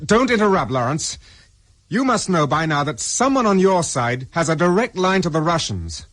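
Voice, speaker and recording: A man's voice: fairly high pitch, very animated, moderate pace, a bit bright. An actor playing a wary, defiant man on the run, clipped and distrustful, in a radio spy drama. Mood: angry, distrustful.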